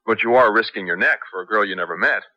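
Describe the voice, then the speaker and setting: A man's voice: fairly low pitch, quick pace, sharp tone, dry recording. An actor playing a worried, guilt-ridden American man eager to help, urgent and questioning, in a 1970s radio drama. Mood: anxious questioning. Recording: dull vintage radio broadcast.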